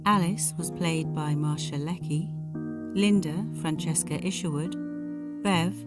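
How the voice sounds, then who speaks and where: A woman's voice: sits low, a little slow. A woman reading scripted end credits as an announcer, over plucked guitar music.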